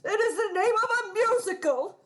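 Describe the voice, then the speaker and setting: A woman's voice: very high voice, lively intonation, normal speed, a bit bright. A woman playing a melodramatic, vengeful scorned ex-wife in a radio play, with haughty, theatrical delivery. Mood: haughty, bitter. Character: vengeful, melodramatic scorned ex-wife posing as respectable society lady.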